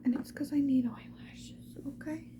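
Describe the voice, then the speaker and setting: Breathy whispering woman, casual ASMR. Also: high voice, steady tone. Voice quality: breathy whisper, mostly unvoiced. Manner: casual, unhurried, self-deprecating murmured asides. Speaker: ASMR video creator. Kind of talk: whispered ASMR get-ready-with-me chat. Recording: dull, muffled sound with faint noise.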